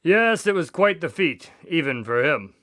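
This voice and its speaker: A man plays a loyal, genial storyteller in a radio play. His voice is on the high side and crisp-edged, dry, with genteel, assured, old-fashioned phrasing. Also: very animated, normal speed, fairly bright tone, dry recording. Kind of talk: amateur radio drama acting.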